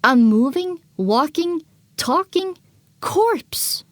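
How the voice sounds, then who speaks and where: A woman's voice: sits high, animated, extremely slow, dry recording. A woman narrating an audio drama in the first person, with a dramatic scripted delivery.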